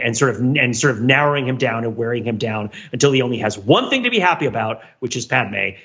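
An animated man with a bright, forceful voice works through an argument as a podcast co-host. His casual, discursive talk swings widely in pitch and often lands on a questioning "right?" The audio is slightly dull.

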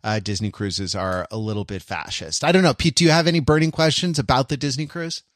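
A man's voice: mid-range voice, quick pace, dry recording, studio quality. A man hosting a casual podcast chat in a wry, digressive way. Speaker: podcast host.

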